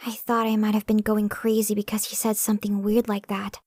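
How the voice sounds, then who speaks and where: A woman's voice: medium pitch, completely flat delivery, fairly quick, darkish tone, lightly acted. A woman voicing a guarded, prickly young girl in an anime fan dub, stubborn, earnest and defensive, with long reverb on her voice. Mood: puzzled, stubborn.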